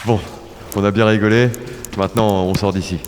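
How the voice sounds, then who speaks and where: A man playing a low-voiced, blunt team leader. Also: fairly even intonation, moderate pace, natural voice. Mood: irritated, mocking. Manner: blunt, casual. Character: gruff, blunt male insect team leader. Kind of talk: audio drama acting. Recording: long reverb, clear background noise.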